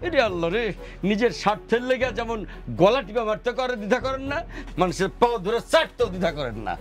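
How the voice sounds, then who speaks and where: A man's voice: very high voice, lively intonation, fast, faint music behind. A man acting a newly rich, contemptuous father-in-law in a family drama, with a Bangladeshi accent. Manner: mocking, contemptuous, needling.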